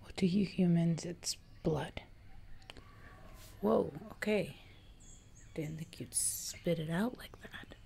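A woman performs as a haughty, man-eating giantess who rules her jungle, whispering slowly and condescendingly in an ASMR roleplay. Her voice is low and crackles with fry. It is close-miked, with some background noise. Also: mellow. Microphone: close mic in front of camera.

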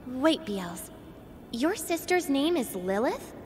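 A woman voicing an earnest, feisty young heroine in a video game, expressive in delivery. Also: high voice, slow pace, full character voice. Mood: casual. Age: young adult. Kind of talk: video game cutscene acting. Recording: long hall reverb, music underneath.

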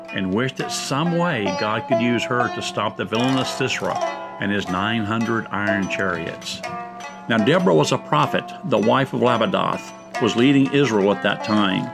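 A man's voice: mid-range voice, gravelly. A man giving scripted storytelling narration for a biblical drama.

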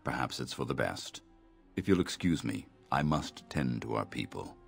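Grave old male village elder, fantasy game: gravelly, rock-bottom register, measured and solemn. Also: animated, soft background music, full character voice. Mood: solemn, resigned. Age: older. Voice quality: gravelly, very deep. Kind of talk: video game voice acting.

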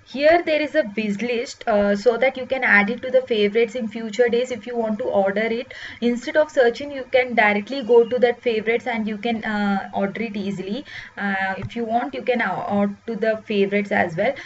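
A higher-pitched woman guides viewers through a web app demo step by step, often pausing on "uh". The recording sounds dull and lo-fi, with a faint hiss behind her voice. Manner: explains step by step with frequent "uh" pauses. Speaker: software demo presenter. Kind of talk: screen-recorded product walkthrough.

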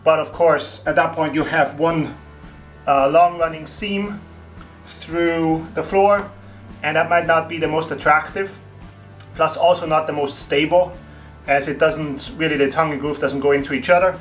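A man's voice: a little high, steady tone, moderate pace, faint music behind. A man in his thirties hosting a home renovation tutorial, explaining steps casually with fillers and restarts in an empty wooden attic room.

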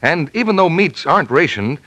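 Bright, lively 1940s American radio announcer man. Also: on the high side, brisk, dry recording. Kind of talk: 1940s radio announcing, scripted sponsor message. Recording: 1940s radio broadcast recording.

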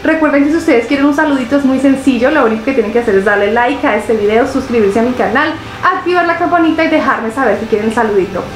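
A young woman with a Colombian accent, chatting casually to camera from her couch as a reaction vlogger, expressive and full of drawn-out fillers. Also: high voice, echoey room, slight background noise, poor audio. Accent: Colombian Spanish. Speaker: reaction video host. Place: living room, seated on a couch.

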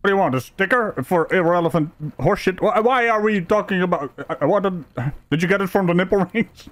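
A man with a notably high voice gives comedic reaction commentary. He is sarcastic and plays up his outrage, swearing as he goes. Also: brisk, no echo.

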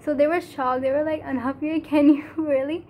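A teenage girl from Pakistan with a Pakistani English accent, Urdu her first language, talking earnestly to a phone camera in a small room, halting, with many fillers. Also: high voice, fairly even intonation, a little mellow, a little hiss or hum. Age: teens. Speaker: vlogger. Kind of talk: casual talk to camera. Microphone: phone camera at arm's length.

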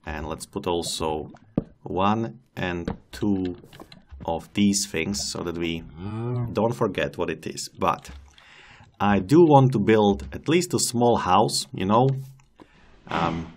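A man's voice: low voice, slow, noisy background. A man whose first language is not English, casually commenting on his gameplay as a let's-play host, chatting to the animals and joking at his own expense. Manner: chatty, talks to the game's animals and laughs at himself.

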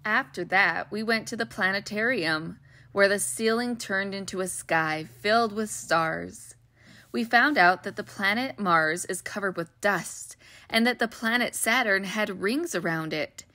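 Female storyteller, bright and clear, warm read-aloud manner for kids, dry close mic. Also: mid-range voice, unhurried, dry recording. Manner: gentle, expressive, child-friendly reading. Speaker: children's book read-aloud host.

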